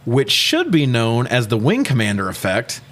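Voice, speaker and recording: A man's voice: medium pitch, huge pitch swings, normal speed, a bit bright. A man co-hosting a podcast, casually recapping a movie in an irreverent, joking, profane way. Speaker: podcast co-host.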